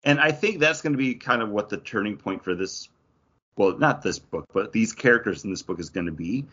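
Low-voiced man with slightly husky tone. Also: lively intonation, brisk, bright, forceful tone, dull audio. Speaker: podcast co-host reviewing comics. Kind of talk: casual enthusiastic review chat.

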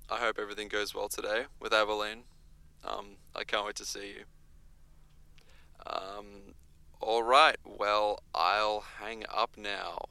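Hesitant young guy, crisp, creaky. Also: fairly low pitch, little pitch movement, a little slow, pushed and bright, no echo, exaggerated voice. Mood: nervous, hesitant. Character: awkward, hesitant, earnest young male friend. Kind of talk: audio drama acting.

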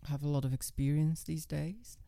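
A middle-aged woman guest with a naturally low, calm and level voice, speaking in a measured, self-assured way. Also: gravelly. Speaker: guest, professional dominatrix. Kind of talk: casual interview talk.

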